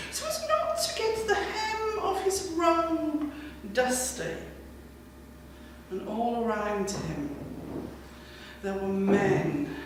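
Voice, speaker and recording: A woman telling a folk tale as a storyteller, expressive and dramatic, with deliberate pauses. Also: high voice. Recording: very echoey hall, distant mic, faint noise.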